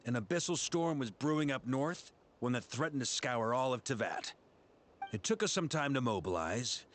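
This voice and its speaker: An actor playing a seasoned expedition commander in a fantasy game, wry, commanding and philosophical, with folksy turns of phrase. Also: male voice, medium pitch, slight background noise, natural voice. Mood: resolute.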